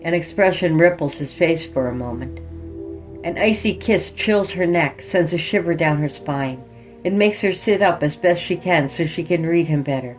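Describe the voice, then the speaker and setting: Lower-pitched woman narrating a story over music. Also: expressive, normal speed, a little mellow. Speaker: podcast host and story narrator. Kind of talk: dramatic scripted audiobook narration of horror fiction. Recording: music behind the voice.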